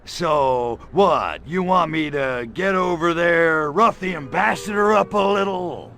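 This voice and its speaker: A man voicing an irritable, lecherous little alien invader in a video game, gravelly, with a sarcastic, slangy tough-guy delivery. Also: fairly high pitch, animated, takes it slowly, bright, forceful tone, heavily acted. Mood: wry, cocky. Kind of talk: video game cutscene acting.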